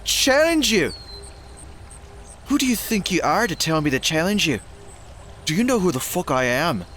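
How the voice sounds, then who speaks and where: Male actor as hot-tempered cocky fighter, brash matey swagger, extremely animated pitch, audio drama, noisy background. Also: normal speed. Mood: indignant.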